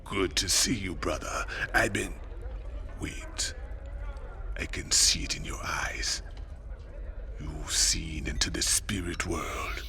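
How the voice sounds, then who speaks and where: Cryptic mercenary, very low, slow, breathy whispers. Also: male voice, sharp tone, full character voice. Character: mysterious, knowing male mercenary with psychic insight.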